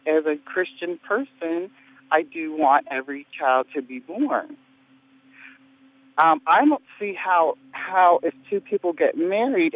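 A man calls in to debate on the radio, halting and hesitant, often restarting his sentences. His voice sits a little high. It comes through a narrow, tinny phone line. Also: no room sound. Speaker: caller.

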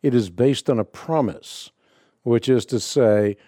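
A man from the Netherlands, a native Dutch speaker with no accent, hosting a call-in radio show and answering Bible questions in a measured, explanatory way. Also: mid-range voice, mellow, no room sound, studio quality.

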